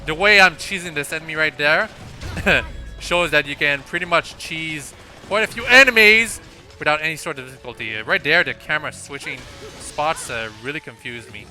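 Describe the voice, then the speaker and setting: A man's voice: a little high, moderate pace, bright, forceful tone. A man giving casual, explanatory commentary over a video game walkthrough in an informal way. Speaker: gameplay commentator. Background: faint game music.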